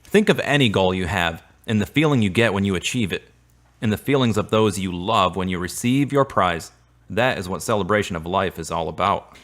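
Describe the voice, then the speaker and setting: A man's voice: sits low, expressive, fairly quick, a bit bright. An actor playing a supportive, slightly awkward man in a radio drama, speaking earnestly and a little formally. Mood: earnest, consoling. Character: Derek, earnest, supportive, awkward male friend. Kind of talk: serial radio drama acting.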